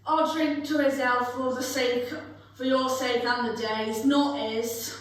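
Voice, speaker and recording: Woman acting a scolding wife, high voice, tart, sarcastic, Dickens stage reading, echoey, far from mic. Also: fairly even intonation, slow pace. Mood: grudging. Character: Mrs Cratchit, indignant, sharp-tongued poor wife. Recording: very echoey room, distant mic, faint noise.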